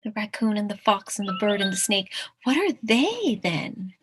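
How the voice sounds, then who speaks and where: A woman's voice: medium pitch, sing-song, normal speed, noisy background, lightly acted. A woman voicing a huge storybook tiger who talks down to a tiny creature in an emphatic way. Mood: worried, puzzled.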